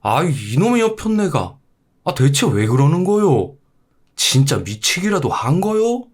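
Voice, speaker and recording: A man playing a gruff, fed-up, hard-drinking husband in a folktale, grumbling and blustering in old-fashioned speech. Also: on the high side, very animated, a little slow, pitched above the performer's own voice, full character voice. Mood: exasperated.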